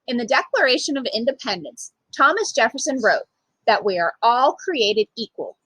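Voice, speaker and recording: A woman reads a picture book aloud for children with a highish, very animated voice. There is a slight huskiness to it, and she leans on key words with dramatic pauses. The sound is dry, with little room around it. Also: husky, no room sound, rough recording. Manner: emphatic, with dramatic pauses and acted dialogue. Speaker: storytime reader, literacy program manager.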